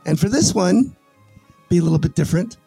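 A man hosting a podcast, folksy and energetic, often restarting his words, with a dry close-mic sound. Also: high voice, a little fast, vocal fry, husky, no room sound, soft background music, low-quality recording. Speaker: podcast host. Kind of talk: casual interview with promo reads.

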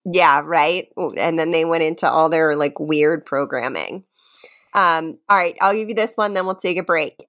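A woman's voice: sits low, normal speed, a bit bright. A woman co-hosting a podcast game in casual, playful chat, giggly and teasing, sometimes reciting lines dramatically. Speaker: podcast co-host running a guessing game.

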